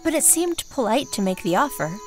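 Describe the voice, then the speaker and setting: Plant gatherer, female actor: lightly high-pitched, polite, admiring, sincere, fantasy audio drama. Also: big pitch swings, fairly quick, a little mellow, soft background music. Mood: earnest reassurance. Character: gentle, earnest female dye maker and plant gatherer.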